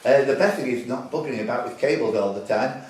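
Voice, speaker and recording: A man's voice: medium pitch, fast talker, darkish tone. A man hosting a quiz tournament with casual, teasing announcements, recorded at a distance in a very echoey room.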